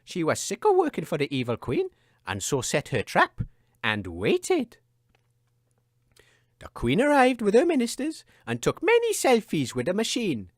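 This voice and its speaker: A man's voice: high-pitched, wildly swooping pitch, measured pace, no echo, studio quality, exaggerated voice. An actor, a man, playing an earnest, theatrical storyteller narrating a children's fairy tale for comedy.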